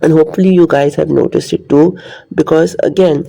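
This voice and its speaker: A man's voice: a little high, steady tone, brisk, gravelly, warm tone, rough recording. A man talking in a rambling, earnest monologue to his viewers, repeating his points. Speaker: vlogger. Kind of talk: casual monologue to camera.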